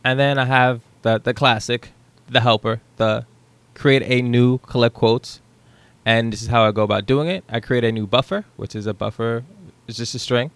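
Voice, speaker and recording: Young male coding tutor, easygoing screen-share explainer, mid-pitched voice, dry close headset sound. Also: normal speed, dry recording. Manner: relaxed, thinking aloud with ums and rights. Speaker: programming tutor. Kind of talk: casual screen-share code walkthrough. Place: small room at home.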